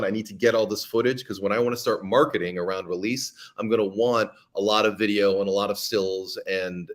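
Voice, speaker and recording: A man's fairly low voice, talking casually as a podcast guest. He gives practical advice in a chatty way, with many ums and restarts.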